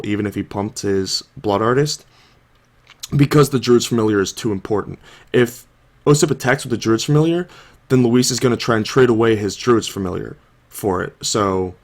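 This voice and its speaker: A young man with a fairly low voice, a bit hoarse from a sore throat he is coming down with. He talks through a card game match in a loose, casual way, thinking aloud and leaning on fillers like "like" and "you know". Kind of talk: casual video commentary.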